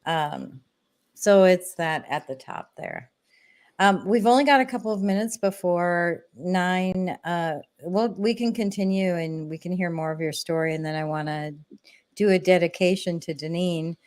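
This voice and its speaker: A woman's voice: lowish voice, measured pace, darkish tone. An American woman hosting an online talk show, speaking casually and affirming her guests. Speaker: host.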